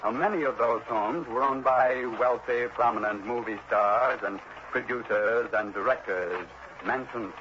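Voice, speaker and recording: A man's voice: medium pitch, a little slow, lightly acted. Casting: male actor as fussy, blustering insurance boss, middle-aged, stammering and indignant, vintage radio drama with dull, noisy sound. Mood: blustery, insistent. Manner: stammering, pompous, easily indignant. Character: fussy, flustered, tight-fisted male insurance executive. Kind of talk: early 1960s radio drama acting.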